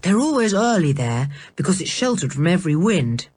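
A woman voicing a sensible older schoolboy who speaks calmly and reassures the others. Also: lowish voice, brisk, husky. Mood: reassuring. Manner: calm, reassuring.

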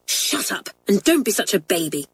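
Janet, a woman doing a sharp bossy schoolgirl. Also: medium pitch, sing-song, moderate pace, gravelly, slightly rough, full character voice, low-quality recording. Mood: fierce. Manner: sharp, commanding.

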